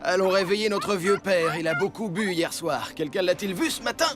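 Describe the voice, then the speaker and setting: An actor playing a patriarch's polite, earnest grown son in a dubbed animated Bible story. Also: male voice, high-pitched, moderate pace, natural voice. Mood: respectful, concerned. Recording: music loud behind the voice.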